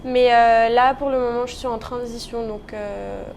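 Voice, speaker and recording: High-voiced young woman, noisy background. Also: fairly even intonation, moderate pace, vocal fry, darkish tone. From Paris region, France. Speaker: interview guest, professional tennis player. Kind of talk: casual interview answers.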